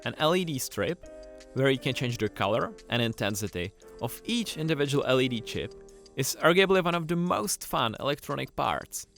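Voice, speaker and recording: A man presenting a scripted tutorial, explaining things clearly and with enthusiasm. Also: medium pitch, expressive, moderate pace, a bit bright. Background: music.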